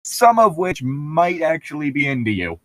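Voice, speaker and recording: A man's voice: fairly high pitch, very animated, normal speed, a bit bright. A man hosting a casual, joking online chat, opinionated and swearing freely. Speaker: host of an online channel.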